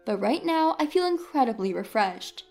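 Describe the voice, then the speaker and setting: A woman's voice: high-pitched, very animated, moderate pace, darkish tone, soft background music. A woman reading a scripted first-person story as a dramatic narrator.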